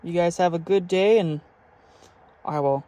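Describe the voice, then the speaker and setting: A man's voice: high voice, a little slow, mellow. A man giving a casual, enthusiastic walkthrough as a hobby vlogger, speaking informally while showing his gear. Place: in and around a truck. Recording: clear vehicle and ambient noise.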